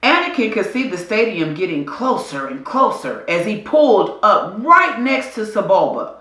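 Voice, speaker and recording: A woman reading a children's adventure story aloud in a deliberate, dramatic storytelling style. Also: medium pitch, very animated, pushed and bright, echoey room. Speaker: storybook reader.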